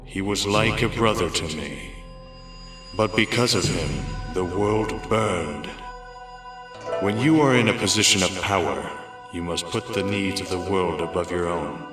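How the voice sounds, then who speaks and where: A man voicing a grave, regretful old spirit mentor, solemn and measured, in an echoing comic dub with music behind. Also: deep voice. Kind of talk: animated-style comic dub acting.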